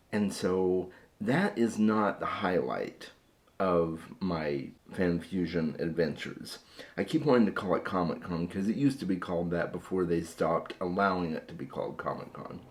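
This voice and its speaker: Book vlog host: very deep, hoarse male voice, easygoing chatter with frequent restarts. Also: darkish tone. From Phoenix area, USA. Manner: chatty, repeats words when starting sentences. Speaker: book vlogger. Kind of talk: casual chat to camera.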